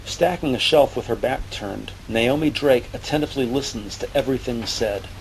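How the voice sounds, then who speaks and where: A man's voice: medium pitch, normal speed, creaky, low-quality recording. A man reading scripted present-tense narration for a detective audio drama. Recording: faint background noise and ambience.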